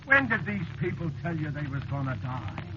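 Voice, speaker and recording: Bossy license inspector, high voice, American, folksy, blustering, eerily unbothered, vintage radio drama, muffled recording. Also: male voice, very animated, normal speed, music in the background. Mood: casual. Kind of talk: 1940s radio drama acting.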